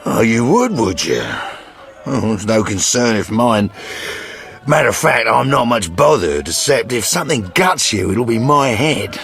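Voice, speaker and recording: A crude mercenary sergeant from a fantasy game. His mid-pitched voice swings widely as he blusters and boasts with theatrical sentiment. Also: big pitch swings, a little slow, gravelly, fairly bright tone, faint music behind, heavily acted. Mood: gruff boasting. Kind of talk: fantasy video game dialogue.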